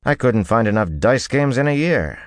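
A man's voice: low-pitched, brisk, no room sound, clean studio sound, natural voice. A man voicing a hot-tempered young rogue army commander in an audiobook, blunt and exasperated, cursing often. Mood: exasperated, angry.

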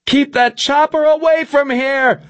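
A man voicing a panicked, cornered villain in an audiobook, screaming frantic high-pitched threats in a West Coast American accent. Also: fairly even intonation, a little slow, fairly bright tone, no room sound. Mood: frantic, threatening. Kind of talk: audiobook character voice.

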